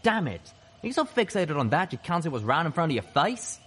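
A man's voice: mid-range voice, very animated, fast, slight background noise, voice pushed up, lightly acted. A man voicing a wry, exasperated and folksy pegasus gunslinger, battle-worn, in a story reading.

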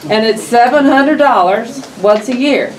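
A woman's voice: fairly high pitch, animated, a little slow. Plainspoken older woman in a meeting room. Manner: loud, plainspoken, reads figures aloud. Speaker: justice of the peace. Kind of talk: public meeting remarks. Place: county meeting room, around a long table. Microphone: table microphone, camera across the room. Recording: distant mic, faint room noise.

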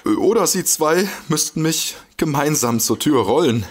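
A gullible, excitable fellow in a comic audio drama. His husky voice swoops up and down as he stammers and exclaims, easily amazed. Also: a little high, a little slow. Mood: contented, stuffed. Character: gullible, excitable man, a food-loving paranormal enthusiast.

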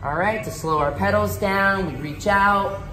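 A middle-aged woman, a cycling instructor, explaining bike setup in a brisk, matter-of-fact way. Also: medium pitch. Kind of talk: casual demonstration. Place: large gym cycling studio, indoors. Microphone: handheld phone camera. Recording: echoey studio room with music. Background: music playing.

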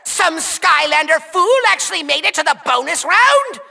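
Shrill nasal cartoony game villain, petty boastful little overlord, whiny gloaty, swoops everywhere, super sharp. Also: male voice, very animated, moderate pace, vocal fry, exaggerated voice. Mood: scornful, gloating. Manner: theatrical gloating, taunting, whiny outbursts. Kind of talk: over-the-top video game villain acting.

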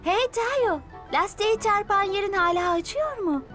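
A woman's voice: very high-pitched, lively intonation, normal speed, a bit bright. A woman voicing a friendly, slightly shy, childlike young tram in a dubbed children's cartoon. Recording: children's music behind.

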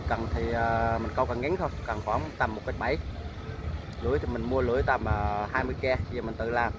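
A man in his thirties from Vietnam talks quickly and casually to his viewers as he fishes from a small boat beside a pier. He holds the camera at arm's length out on the open water. The outdoor audio is noisy, with wind and water behind his voice. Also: mid-range voice, steady tone. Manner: chatty, keeps addressing viewers as family. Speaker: fishing vlogger. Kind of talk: casual vlog narration. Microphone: action camera held at arm's length. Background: wind, water and boat noise.

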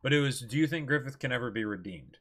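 A man's voice: mid-range voice, expressive, fast talker, a bit bright. A man in his twenties co-hosting a livestream, talking casually and emphatically, repeating phrases and checking in with "right?". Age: 20s. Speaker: co-host of a livestream. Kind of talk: casual chat, discussing manga. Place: indoor room, seated.

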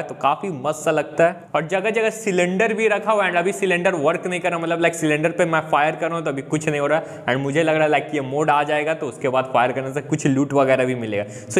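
Young Indian man, upbeat gaming YouTuber, slightly high voice, chatty and excited. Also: fast talker, few pauses. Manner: upbeat, chatty, mixes English words into Hindi. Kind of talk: excited gameplay update commentary.

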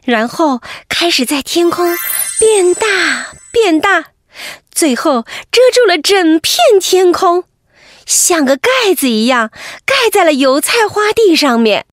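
A woman storyteller narrating an expressive bedtime story for children, animated and speaking to them directly. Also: very high-pitched, slow pace, no room sound, clean studio sound.

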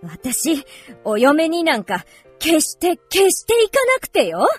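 A woman's voice: high-pitched, wildly swooping pitch, slow, soft background music, heavily acted. A woman playing a demure, coy unmarried daughter in a radio drama, speaking in a prim, ladylike way. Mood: coy, protesting. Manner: prim, ladylike feminine speech. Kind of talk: Japanese radio drama acting.

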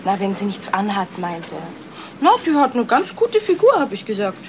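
An actress playing a gossipy, catty office worker in a German crime radio play, chatting away. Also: female voice, medium pitch, sing-song, normal speed, slight background noise.

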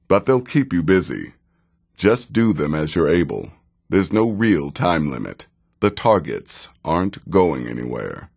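An actor playing a sardonic, world-weary assassin in a fantasy video game, with a dry, deliberate delivery. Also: male voice, extremely low pitch, gravelly, heavily acted. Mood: businesslike, wry. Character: sardonic, world-weary veteran male assassin of a dark guild.

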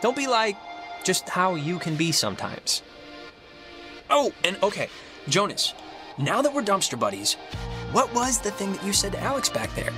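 Chatty, high-voiced teenage boy. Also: wildly swooping pitch, moderate pace, long pauses, pushed and bright, full character voice. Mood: eager. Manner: rambling, jokey, dramatic. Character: talkative, nosy, goofy teenage high school boy. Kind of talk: naturalistic video game dialogue. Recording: game music under the voice.

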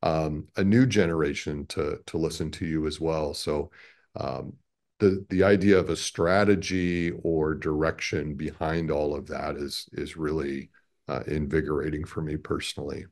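A man in his fifties speaks in a low voice with a lot of fry in it. He answers interview questions conversationally and in a measured, hesitant way. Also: steady tone, darkish tone. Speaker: radio station president, interview guest. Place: small indoor office, on a video call.

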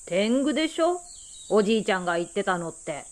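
A woman's voice: medium pitch, very animated, fairly quick, lightly acted. Woman doing a sulky little boy around nine, short curt answers, radio drama acting. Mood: curt, sullen. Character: sullen, taciturn boy of about nine. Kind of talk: radio drama character dialogue.

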